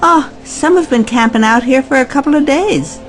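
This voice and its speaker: A woman playing a brisk, pushy and cynical event boss in an audio drama, breezy and commanding. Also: high voice, faint music behind, close to an everyday voice. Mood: breezy, proud.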